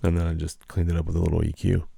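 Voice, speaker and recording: A man's voice: low voice, little pitch movement, rapid-fire, creaky, mellow, breathy edge, no room sound, studio quality. A man giving a casual explanatory tutorial as a video creator.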